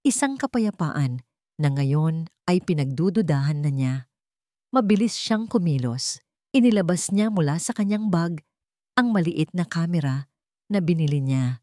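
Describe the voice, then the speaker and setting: A woman's voice: low-pitched, animated, unhurried, long pauses, mellow, no echo, clean studio sound. A woman reading a scripted dramatic story as its narrator.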